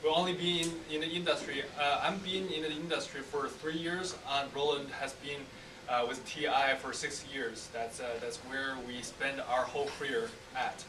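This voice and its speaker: A young man, an engineer speaking hesitantly to students with frequent pauses. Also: medium pitch, steady tone. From China. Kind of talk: casual classroom talk. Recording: roomy distant mic with faint noise.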